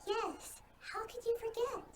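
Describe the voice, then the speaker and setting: A woman voicing a small, childlike girl deity in a video game, gentle, thoughtful and reassuring. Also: very high voice, steady tone, a little slow. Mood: curious, puzzled. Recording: echoey, distant, noisy background ambience.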